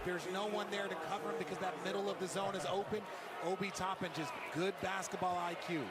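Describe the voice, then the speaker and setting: A man's voice: high voice, flat delivery, quick pace. A man giving analytical basketball color commentary on a broadcast. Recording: very noisy game broadcast.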